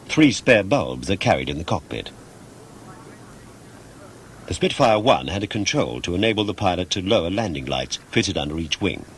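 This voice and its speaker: A man with a low-pitched voice delivers measured, scripted documentary narration. He explains things formally and precisely. The track is noisy, with a vehicle-like rumble underneath. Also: big pitch swings.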